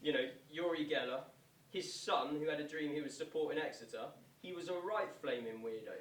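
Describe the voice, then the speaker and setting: Plain-spoken doubting supporter, verbatim theatre, played by a man. Slightly high pitch, distant mic. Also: a little fast, a bit bright, lightly acted. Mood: wry, dubious. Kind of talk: verbatim monologue performed on stage. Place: theatre performance space, distant microphone. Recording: echoey stage recording from far off.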